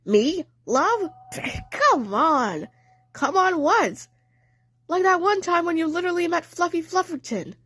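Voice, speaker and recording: Woman playing a high-pitched grumpy cartoon cat. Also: sing-song, takes it slowly, a bit bright, dry recording, full character voice. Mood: teasing. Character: CatRat, grumpy squeamish cartoon cat recalling events.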